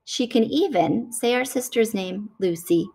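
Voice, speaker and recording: A woman reading a picture book aloud to children in an expressive, deliberate storytelling style. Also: on the high side, vocal fry, husky.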